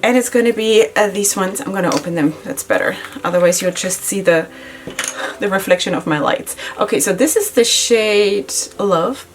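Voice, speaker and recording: A middle-aged woman who speaks English as a second language chats casually through a makeup routine, giggling often and filling pauses with "you know" and "like". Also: medium pitch, slight background noise. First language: not English. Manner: chatty, giggly, many fillers. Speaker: beauty vlogger. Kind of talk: casual makeup tutorial chat. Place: home makeup setup in Israel.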